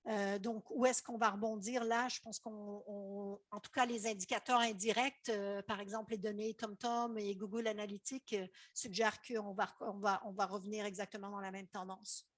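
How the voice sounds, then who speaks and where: A woman's voice: mid-range voice, little pitch movement, no echo. A middle-aged woman with a Québécois French accent giving a conference talk on climate data, with frequent fillers and restarts. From Canada. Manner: halting, many fillers and restarts. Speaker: climate council chair giving a lecture.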